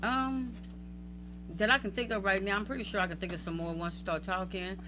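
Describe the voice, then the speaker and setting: A woman's voice: medium pitch, steady tone, fairly quick. A woman from the Southern US with a Southern accent, a podcast guest who talks in a laid-back, hesitant way. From Southern United States. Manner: laid-back, hesitant, lots of agreeing murmurs. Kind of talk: casual podcast chat. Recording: noisy, humming background.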